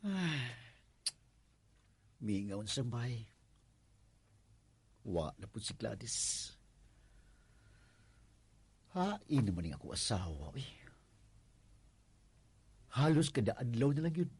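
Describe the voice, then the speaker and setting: An actor with a Visayan Filipino accent playing a worried, devoted husband in a radio drama, sighing and pleading. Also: male voice, medium pitch, big pitch swings, slow pace, long pauses, a little mellow, breathy edge, no echo, full character voice. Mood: weary, lonesome. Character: worried, long-suffering, devoted husband narrating his story.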